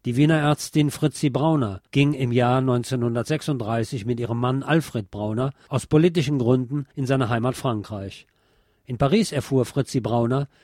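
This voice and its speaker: A man's voice: mid-range voice, darkish tone, no echo. Man reading documentary narration, dry studio sound. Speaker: narrator of a history radio series.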